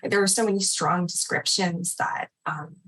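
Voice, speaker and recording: Woman, lowish pitch, casual host, appreciative, conversational, unscripted. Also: steady tone, normal speed, a bit bright. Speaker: event host and literary editor. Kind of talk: casual unscripted remarks at an online literary event.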